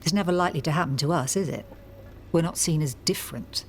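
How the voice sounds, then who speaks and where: A woman's voice: deep voice, fast, slight background noise. An actress playing a kindly middle-aged English mother in a radio soap, plain-spoken and consoling. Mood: sympathetic.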